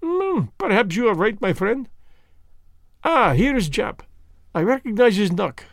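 A man's voice: high-pitched, sing-song, measured pace, gravelly, dry recording, clean studio sound, voice pushed up, full character voice. A man reading the part of a fussy, vain elderly Belgian detective who speaks precisely and drops French words. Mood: placid reasoning.

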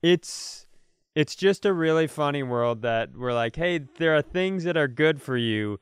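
A man's voice: fairly high pitch, lively intonation, unhurried, clear, resonant tone, no echo. Self-mocking man bantering, clean dry sound. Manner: self-mocking, rambling storytelling with playful exaggeration. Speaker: comedy podcast co-host. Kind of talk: casual comic banter.

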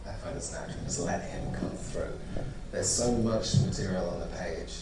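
A man's voice: sits low, normal speed. A man from Zimbabwe, an actor being interviewed, talking in a reflective, rambling way with many fillers. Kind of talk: press junket interview. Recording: echoey room, distant mic, noisy.

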